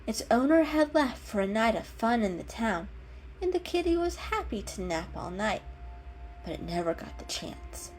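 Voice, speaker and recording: A woman's voice: on the high side, big pitch swings, normal speed, a little mellow, poor audio. A woman narrator giving a dramatic storybook reading. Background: music.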